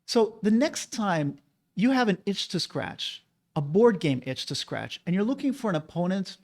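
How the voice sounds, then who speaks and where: A man's voice: high voice, big pitch swings, moderate pace. A man hosting his own channel, talking casually and persuasively to the viewer, asking rhetorical questions. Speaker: board game channel host. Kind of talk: casual opinion monologue.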